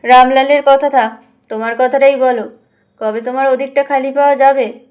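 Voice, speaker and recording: An aggrieved man, curt and defensive, voiced by a woman reader. His pitch is high and he sounds uneasy, as a put-upon relative in an audio storybook. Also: fairly even intonation, normal speed, a little mellow, lightly acted. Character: aggrieved adult brother-in-law, uneasy, worn down by quarrel.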